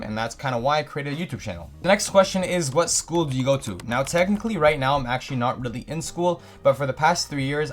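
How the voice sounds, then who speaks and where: A man in his twenties from Canada, chatting eagerly to camera in a casual vlog as he reads out viewer questions and answers each one at length. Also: mid-range voice. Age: 20s.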